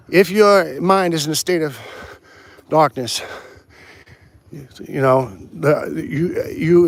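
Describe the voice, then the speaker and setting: A man's voice: a little high, dry recording. A man livestreaming on a walk outdoors, rambling casually with many restarts and answering viewer comments. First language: English. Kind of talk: casual live stream chat.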